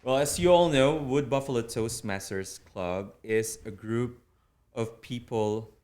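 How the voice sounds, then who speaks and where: A man with a Filipino accent, a native Tagalog speaker, explaining things earnestly as an interview guest in deliberate, formal phrasing. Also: medium pitch, a little mellow. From Philippines. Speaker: interview guest, public speaking club officer.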